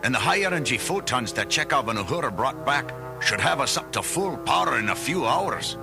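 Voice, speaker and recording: A man's voice: medium pitch, big pitch swings, a little fast, creaky. A man playing a dutiful starship engineer giving reports in a children's read-along storybook recording. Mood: businesslike. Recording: voice over music.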